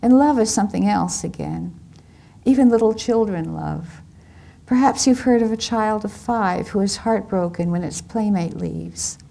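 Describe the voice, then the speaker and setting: A woman reading as a calm seductive man. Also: medium pitch, a little slow, vocal fry, pitched above the performer's own voice. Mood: soothing.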